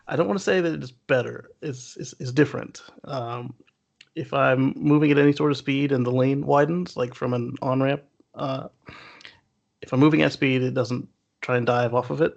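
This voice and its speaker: A man co-hosting a casual news discussion in a halting way, sometimes chuckling as he talks. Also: mid-range voice, fairly even intonation, creaky, dull audio. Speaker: talk show co-host.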